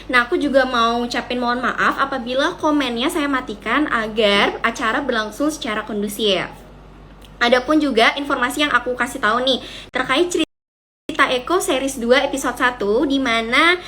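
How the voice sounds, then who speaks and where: Speak as a woman hosting a live stream over a computer mic. Keep it chatty, moving between polite formal phrasing and casual asides. Let the voice sit high, with a faint hum of room noise behind. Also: sharp tone. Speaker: host. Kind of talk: hosting a live online talk.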